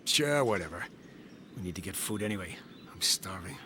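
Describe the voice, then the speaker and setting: A man playing a gruff, brooding mercenary swordsman in a video game dub, curt and brief in his delivery. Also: low-pitched, expressive, normal speed, heavy background noise. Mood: grudging.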